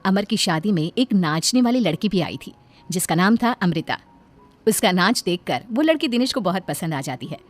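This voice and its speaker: A woman's voice: on the low side, big pitch swings, normal speed. An Indian woman narrating a scripted bedtime story as a storyteller. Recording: background music under the voice.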